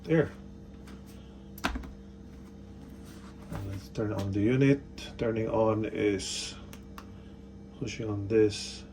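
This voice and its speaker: A man's voice: lowish voice, extremely slow, pauses often, a little mellow. A man casually narrating a hands-on installation tutorial, step by step in short instructional phrases. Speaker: product installation demonstrator. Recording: faint background noise.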